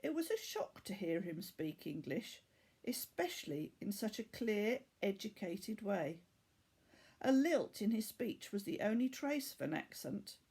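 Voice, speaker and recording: A woman narrating a children's story aloud like a teacher, measured and expressive. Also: medium pitch, husky.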